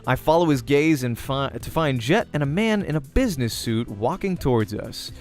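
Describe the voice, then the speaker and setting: A man's voice: mid-range voice, lively intonation, normal speed, faint music behind. A man reading a visual novel aloud as a let's-player, narrating the game story expressively.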